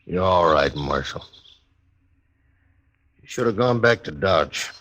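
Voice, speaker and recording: Male stage station keeper, radio western: deep, taciturn, lonely, guarded, resigned. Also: expressive, slow pace, a bit bright, heavily acted. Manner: terse, guarded, melancholy. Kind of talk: 1950s radio western acting. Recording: vintage radio drama recording.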